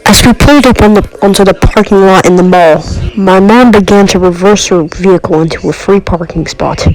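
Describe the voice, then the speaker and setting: A man's voice: sits high, a little fast, low-quality recording. A man narrating a scripted first-person horror comedy story.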